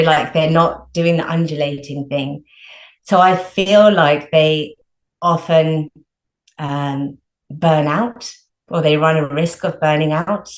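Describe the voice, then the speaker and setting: A woman health coach giving casual advice as an interview guest, chatty and full of "like" and "you know". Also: low voice. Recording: roomy echo.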